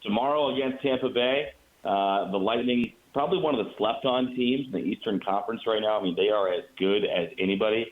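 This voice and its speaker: A man's voice: on the low side, fairly quick. An American man, a radio play-by-play announcer, giving conversational sports analysis as a guest over a remote call. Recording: muffled phone-like call.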